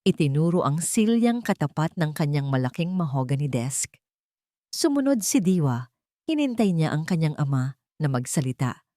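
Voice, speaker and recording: A woman's voice: low-pitched, sing-song, moderate pace, warm tone, no echo, clean studio sound. A woman reading scripted dramatic story narration.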